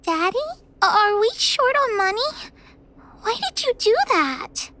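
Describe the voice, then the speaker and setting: A woman's voice: very high-pitched, expressive, takes it slowly, bright, forceful tone, no room sound, full character voice. An actress voicing a feisty, stubborn little girl in a video game, with a childish manner. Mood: worried. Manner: childish, speaks of herself in third person. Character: feisty, stubborn little girl who calls herself boss.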